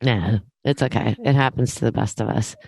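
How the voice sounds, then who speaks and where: A woman, stuffy and nasal from a lingering cold. She talks in a relaxed way, hands out advice and laughs easily. The sound is dry and close-miked. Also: extremely low pitch, normal speed, creaky, slightly rough, dry recording. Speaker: podcast co-host. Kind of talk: casual chat.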